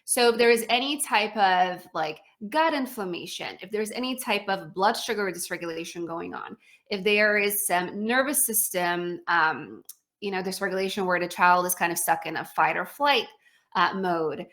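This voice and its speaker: A woman's voice: lowish voice, moderate pace, a bit bright. A woman from Poland in her thirties, a feeding specialist chatting as a guest with frequent fillers and restarts. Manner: chatty, many fillers and restarts, laughs easily. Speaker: guest, pediatric speech-language pathologist. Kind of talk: casual interview answers.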